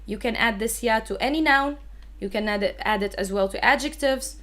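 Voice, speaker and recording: A woman's voice: on the high side, a little fast, fairly bright tone. A woman with a Levantine Arabic accent, a native Arabic speaker, teaching a live online language lesson in an explanatory, emphatic way.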